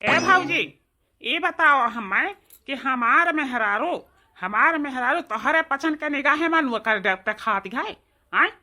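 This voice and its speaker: A woman's voice: high voice, expressive, measured pace, sharp tone, heavily acted. A woman playing an indignant young village husband in a comedy cartoon, confrontational and emphatic, with an eastern Uttar Pradesh accent.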